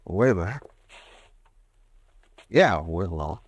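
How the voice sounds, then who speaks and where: A jittery young drugstore clerk, stammering, with a pitch that jumps all over the place. The sound is close and dry, like an old radio play. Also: male voice, very animated, takes it slowly, slightly rough, no room sound, lo-fi sound, heavily acted. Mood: nervous. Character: nervous young male drugstore clerk in trouble. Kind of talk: 1940s radio acting.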